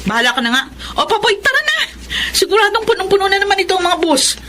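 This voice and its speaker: A woman's voice: very high-pitched, very animated, quick pace, background noise. A woman with a Filipino accent playing a bossy but caring eldest sister in a radio drama, with a nagging manner. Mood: scolding. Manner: nagging, scolding. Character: Minerva, bossy caring eldest sister raising two younger siblings.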